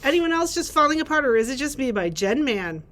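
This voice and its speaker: Middle-aged woman with a higher-pitched voice. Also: big pitch swings, a little fast, fairly bright tone. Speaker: librarian presenting book picks. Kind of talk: book recommendations, reading blurbs aloud. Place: library room with bookshelves.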